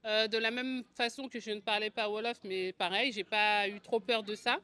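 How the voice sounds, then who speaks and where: A woman's voice: medium pitch, steady tone, normal speed, bright, forceful tone, clear, resonant tone. A woman in her forties with a French accent from France tells her own story in a conversational, explanatory vlog style. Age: 40s. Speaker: video channel host, agency co-founder. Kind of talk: personal vlog storytelling to camera.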